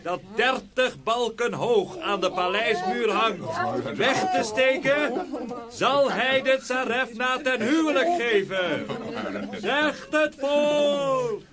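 An actor playing a pompous royal town crier, proclaiming in drawn-out calls. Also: male voice, extremely high pitch. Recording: crowd noise behind.

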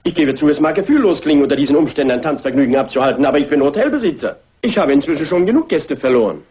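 An actor playing a fussy, courteous hotel owner in a German radio crime play, formal and effusive. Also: male voice, on the high side, very animated, rapid-fire, a little mellow, lo-fi sound. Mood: indignant.

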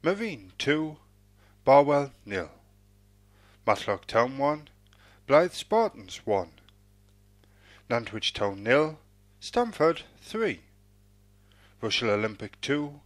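A man reading out football results as an announcer, in a measured, formulaic sing-song cadence. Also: mid-range voice, big pitch swings, dry recording.

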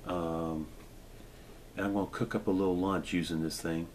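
A middle-aged man with a fairly low voice talks in a relaxed, chatty way and often fills pauses with "uh" and "um." Clear background noise from the outdoors sits under his voice on camera audio. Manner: relaxed, chatty, with frequent fillers. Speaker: gear reviewer. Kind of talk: casual show-and-tell demonstration. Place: indoors at a table, then in a backyard.

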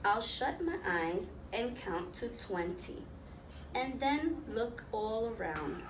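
A woman in her thirties reading a picture book aloud to young children, pausing to explain and ask them questions. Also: fairly high pitch, animated. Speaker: volunteer children's story reader. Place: small classroom, indoors, seated on floor. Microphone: camera a few metres away. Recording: roomy, distant mic, noticeable background noise.

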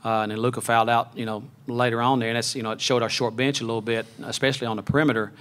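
Brief: middle-aged male coach, quick and level, postgame presser on a table mic. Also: mid-range voice, little pitch movement, fairly bright tone. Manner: measured, many fillers and restarts. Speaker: head basketball coach. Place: press conference room. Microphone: table mic on a stand.

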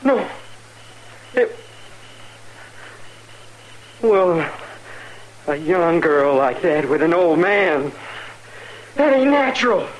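A young male westerner, defensive and bitter, with a rural American accent. His voice is high and agitated. It is an old radio drama recording with light noise. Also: very animated, very slow, pauses often, full character voice. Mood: defensive, desperate. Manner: agitated, protesting. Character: bitter, defensive young frontier man, guilty and desperate. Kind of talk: 1950s western radio acting. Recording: noisy vintage radio recording.

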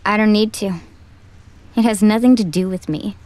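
A woman's voice: medium pitch, normal speed, a little mellow, no room sound, natural voice. A woman voicing a withdrawn, resigned young ninja girl in an anime game dub, speaking in clipped, guarded phrases. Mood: cold, resigned.

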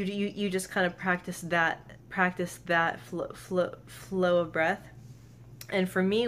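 Soft-spoken young woman who stutters. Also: lowish voice, a little hiss or hum. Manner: stutters with repeated sounds and blocks. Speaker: vlogger. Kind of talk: personal vlog talk to camera. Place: bedroom, indoors. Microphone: camera close in front.

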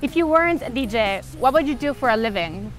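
A woman's voice: a little high, expressive, fairly quick. A woman interviewer putting short, simple questions to a guest. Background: music.

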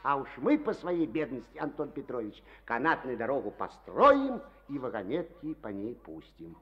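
A man's voice: mid-range voice, very animated, moderate pace. An actor playing a boastful, hearty collective-farm chairman in a Soviet radio play, folksy and exuberant. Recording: dull old archival radio recording.

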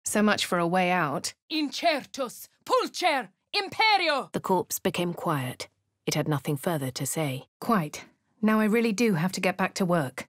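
An actress with a posh English accent voicing a composed young female adventurer in a game, crisp and clipped. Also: mid-range voice, big pitch swings, a little slow, no room sound, studio quality. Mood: incanting. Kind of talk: game voice lines and narration.

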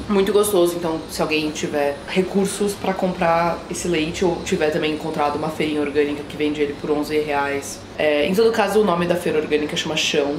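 A woman's voice: low-pitched, noisy background. A woman in her mid-twenties with a São Paulo Brazilian accent, chatting casually and informally to a camera at home, slangy and self-deprecating. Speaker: vlogger. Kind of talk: casual daily vlog chat to camera. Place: small rooms at home, indoors.

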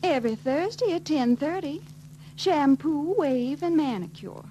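An actress playing a chatty, sly young beauty parlor operator in 1940s film style. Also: female voice, high voice, lively intonation. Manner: drawn-out, knowing gossip. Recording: faintly noisy 1940s film soundtrack.

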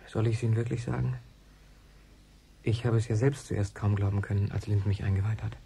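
A man's voice: on the low side, steady tone, fairly quick, gravelly, dark tone, a little hiss or hum. An actor playing an earnest, agitated man in a German radio play, with an urgent, pleading way of talking. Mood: hushed, confiding. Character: earnest, agitated man defending his dead mentor.

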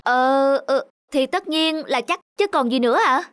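A woman voicing a smug, greedy middle-aged male official in an animated folk tale, boastful and brash. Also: high-pitched, a little slow, slight background noise. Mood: puzzled, irritated.

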